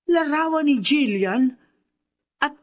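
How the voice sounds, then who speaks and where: A woman's voice: sits high, animated, takes it slowly, highs cut off. A woman playing an anxious, hesitant middle-aged mother in a radio drama. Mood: alarmed.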